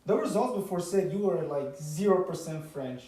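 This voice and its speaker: A man's voice: high-pitched, expressive, normal speed, warm tone, distant mic. A young man from Romania co-hosting a couple's vlog in a casual, playful, teasing way. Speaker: vlog co-host. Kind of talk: casual chat. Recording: echoey room, off-mic.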